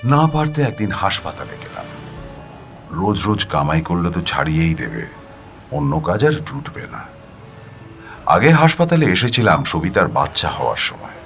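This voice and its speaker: A man narrating a first-person story dramatically, with an expressive, weary, plaintive delivery. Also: low-pitched, moderate pace, gravelly, long pauses, faint music behind. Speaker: audiobook narrator.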